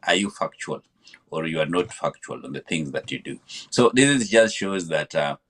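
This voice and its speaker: A man's voice: very deep voice, expressive, moderate pace, a bit bright. A man from Kenya, a podcast guest, talking in a hesitant way with many fillers and repeated words. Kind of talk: conversational interview answer.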